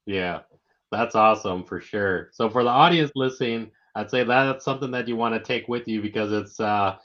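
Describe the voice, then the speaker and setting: A man's voice: lowish voice, moderate pace, a bit bright. Male interviewer, dull lo-fi sound. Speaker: interviewer, show host. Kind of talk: casual interview chat. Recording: dull lo-fi online audio.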